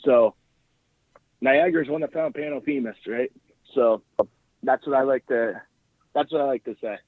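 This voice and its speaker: A man's voice: medium pitch, normal speed, no echo. Chatty Ontario man calling in by phone. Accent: Ontario Canadian. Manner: enthusiastic, chatty, tags points with "right?". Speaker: podcast host calling in as guest analyst. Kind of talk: casual sports chat. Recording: narrow phone-line call.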